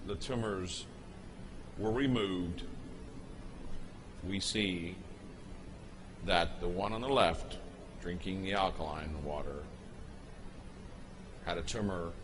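A man giving an unscripted voice-over, explaining footage in a halting way with pauses and restarts. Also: deep voice, noisy background. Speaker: narrator explaining a product video.

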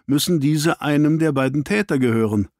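A man's voice: on the high side, fairly quick, darkish tone, dry recording, clean studio sound, voice pushed up, lightly acted. A man reading an audiobook, voicing an earnest, bashful young police constable who reports a little hesitantly. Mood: earnest, tentative.

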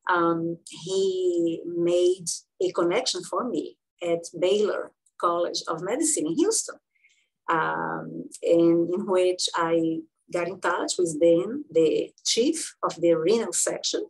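A woman in her sixties from Brazil with a Brazilian Portuguese accent, telling her career story as an interview guest, with frequent pauses and fillers. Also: on the low side, expressive, vocal fry, breathy edge, rough recording. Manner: halting, many fillers and restarts. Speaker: guest physician-researcher.

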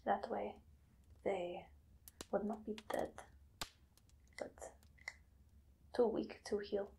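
A woman plays a menacing, obsessive female vampire hunter in an ASMR roleplay. Her hushed voice is very slow and creaky, with deliberate pauses and little melody. There is faint noise behind the whispering. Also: medium pitch, fairly even intonation. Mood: musing. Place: small dim room indoors.

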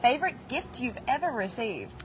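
A woman's voice: fairly high pitch, big pitch swings, moderate pace. Young woman tv host, bubbly and laughing a lot, outdoor plaza, faint background noise. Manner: gushing, enthusiastic, laughs often. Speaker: entertainment show host. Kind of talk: upbeat celebrity interview. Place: outdoor plaza set with passersby.

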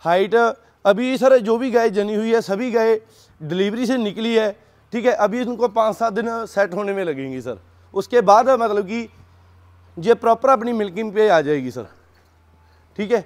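A man selling dairy cattle, giving an informal pitch and respectfully addressing the listener as sir again and again. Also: lively intonation, moderate pace, darkish tone. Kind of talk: informal sales pitch to camera. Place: dairy farm.

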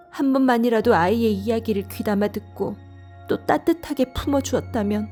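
A woman narrating a scripted audio drama and voicing the mother, with a soft, measured, sorrowful reading. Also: medium pitch. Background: faint music.

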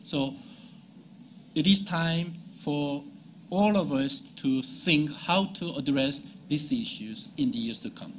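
Measured, formal higher-voiced man, faint noise. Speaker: panelist. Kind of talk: formal statement in a policy debate. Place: conference meeting room. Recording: conference room with background noise.

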